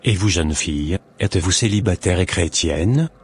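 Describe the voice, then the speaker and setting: A man voicing a deep-voiced pious young man. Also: expressive, slightly rough, heavily acted. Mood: earnest. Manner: earnest, measured, pious.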